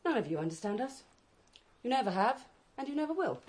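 An actress playing a proud, defiant wife in a radio drama, curt in manner, on a noisy recording. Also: female voice, a little high, sing-song, brisk, a little mellow, slightly rough. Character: defiant, proud wife dismissing her in-laws.

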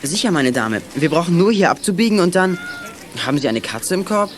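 A man's voice: fairly high pitch, very animated, brisk, a little hiss or hum. A young male actor playing a scheming teenage schoolboy detective in a German children's radio play, courteous and theatrically mock-formal.

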